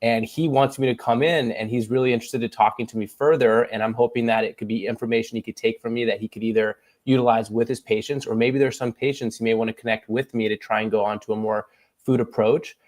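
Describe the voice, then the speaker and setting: A man in his thirties talking earnestly on a casual panel chat, acting out the voices of people he quotes. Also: medium pitch. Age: 30s. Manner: earnest, quick and animated, acts out quoted voices. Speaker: podcast guest.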